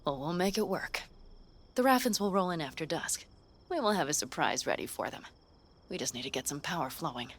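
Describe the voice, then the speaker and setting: An actress voicing a confident female mercenary in a video game, giving quick, commanding orders. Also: sits low, expressive. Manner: brisk, commanding planner. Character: tough female fixer, confident and cocky. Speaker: mercenary ally.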